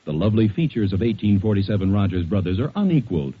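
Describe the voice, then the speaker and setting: A man's voice: on the low side, sing-song, quick pace, slightly rough. A man with an American accent announcing in polished, persuasive 1940s radio style, as scripted narration and advertising. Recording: muffled 1940s radio recording.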